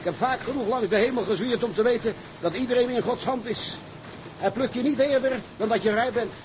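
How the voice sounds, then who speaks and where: A seasoned ship's officer with a high voice. He is calm, wry and fatalistic, in a radio play with clear engine noise behind him. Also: fairly quick. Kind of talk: Dutch maritime radio drama acting.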